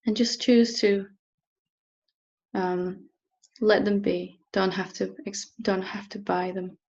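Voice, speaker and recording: A woman teacher leading a guided meditation in a gentle, unhurried manner with long pauses. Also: mid-range voice, fairly even intonation, gravelly, breathy edge, dull audio. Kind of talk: guided meditation, softly instructing.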